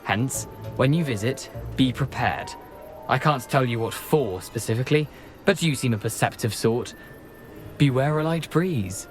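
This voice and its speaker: A man's voice: medium pitch, animated, unhurried, faint music behind, close to an everyday voice. A man playing the wry, flippant ghost of a schoolboy adventurer in a video game, speaking in wordy, theatrical phrases. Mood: wry, playful.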